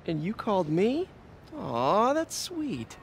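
Young American male game hero: jokey, awkward, higher-pitched, animated. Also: slow pace, slight background noise, exaggerated voice. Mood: playful. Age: young adult. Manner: joking, stammers when flustered. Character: quippy, awkward, good-hearted young male masked superhero. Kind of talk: cinematic video game acting.

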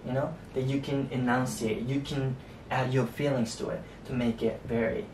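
A young man with a Japanese accent, teaching a relaxed whiteboard lesson and tagging his sentences with a soft "ne" and "you know". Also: normal speed. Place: small indoor room at a whiteboard. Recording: echoey room, distant mic, faint rumble.

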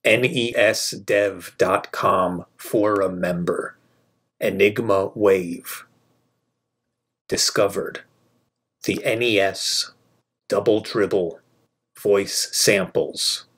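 A man narrating a scripted video about a video game, in short, measured phrases. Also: fairly low pitch, fairly even intonation, a bit bright, husky.

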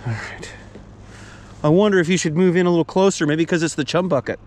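A man chatting casually and muttering quietly while fishing from a kayak, recorded on an action camera out on the water. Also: a little high, fast talker. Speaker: fishing vlogger. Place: kayak on open water, outdoors. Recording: wind and water noise outdoors.

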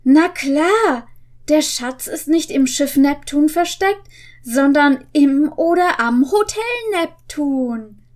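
A woman's voice: high-pitched, expressive, takes it slowly, a little mellow. A young woman voicing eager boy detectives while reading storybook dialogue aloud with emphasis. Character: excited, sure-minded boy detectives in a story.

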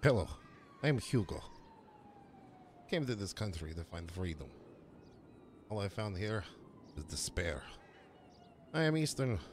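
A man voicing a bitter, sinister immigrant criminal with a brooding, deliberate way of talking for a game roleplay. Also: medium pitch, a little hiss or hum. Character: bitter, menacing Eastern European immigrant criminal, male.

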